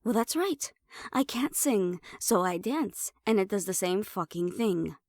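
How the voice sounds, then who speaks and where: A woman's voice: medium pitch, animated, measured pace, a little mellow, no room sound. Sassy siren hybrid woman, close dry sound, acted. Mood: indignant, angry. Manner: sassy, sweary, conversational, slipping into Spanish. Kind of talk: roleplay audio drama acting.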